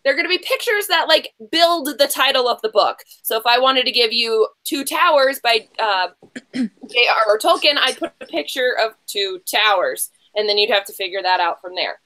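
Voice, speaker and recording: A woman with a fairly high, sharply bright voice hosts a casual online game show. She is chatty and gushing. She rambles, breaks off mid-thought and drops in slangy asides as she goes. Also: animated, moderate pace. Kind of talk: casual livestream hosting, chatty.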